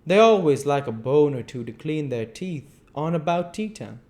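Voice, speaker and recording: Man reading as working-class zookeeper, storybook, blunt, talkative, rustic phrasing, highish swooping voice. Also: lively intonation, moderate pace, darkish tone. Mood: cheeky. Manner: rustic, ungrammatical storybook talk.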